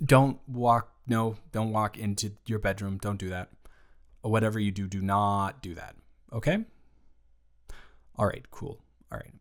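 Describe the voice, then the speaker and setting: A man performing an improvised roleplay audio, speaking slowly and carefully with a soft, reassuring manner. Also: on the low side, steady tone. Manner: slow, careful, measured speech with pauses.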